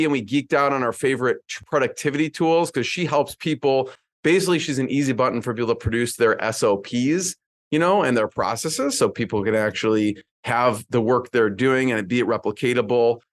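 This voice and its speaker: A male podcast host with a mid-range voice talks loosely and warmly through his intro. He fills pauses with frequent ums as he chats his way to the guest. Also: mid-range voice. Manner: chatty, filler-heavy with many ums. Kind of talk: conversational interview intro.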